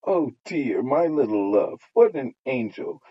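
A man voicing a shrill, fussing older neighborhood woman who gossips loudly. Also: sing-song, measured pace, breathy edge. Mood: coaxing. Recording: dull lo-fi audio.

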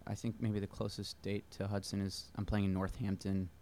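A man from Pennsylvania, a musician guest, answering interview questions in a relaxed, halting way. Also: lowish voice, slight background noise. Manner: relaxed, halting, many fillers.

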